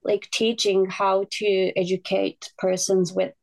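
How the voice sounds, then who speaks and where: A woman's voice: medium pitch, flat delivery, slow pace, vocal fry, darkish tone, rough recording. A woman in her twenties from Japan, speaking English with a Japanese accent as a podcast guest, hesitant and searching for words. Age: 20s. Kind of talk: casual podcast interview.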